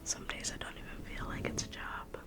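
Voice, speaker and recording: A woman whispering softly and reassuringly as a private museum guide in an ASMR roleplay. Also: a little fast. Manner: soft whispering, intimate and reassuring.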